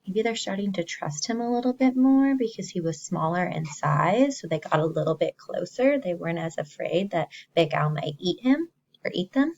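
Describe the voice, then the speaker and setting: A woman teacher reading a story to young children, expressively, pausing to explain simply and ask the listeners questions. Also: on the low side, expressive, darkish tone, lo-fi sound.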